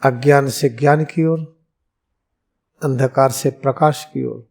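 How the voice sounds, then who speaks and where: A man with an Indian accent leading a guided meditation as a yoga guru, speaking in solemn, deliberate, measured phrases with pauses. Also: mid-range voice, fairly even intonation, gravelly, darkish tone.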